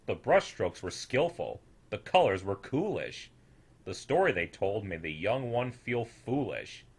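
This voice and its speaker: A young man reading a rhyming children's story as an expressive, theatrical storyteller with a sing-song rhythm. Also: on the low side, measured pace, slightly rough. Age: young adult.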